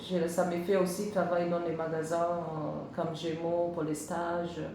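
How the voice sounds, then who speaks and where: A woman's voice: on the low side, level tone, unhurried, warm tone. A middle-aged woman from Kenya with a Kenyan accent, a native English speaker answering job interview questions in hesitant French, searching for words with many fillers. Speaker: job seeker. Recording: very echoey room with noise.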